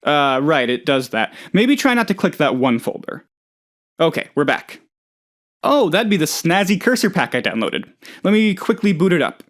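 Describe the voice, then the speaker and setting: A man voicing a naive, excitable oddball office boss in a video game, chatty and rambling, with hesitant, earnestly gullible delivery. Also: on the high side, moderate pace. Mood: wary, then upbeat. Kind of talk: video game character dialogue.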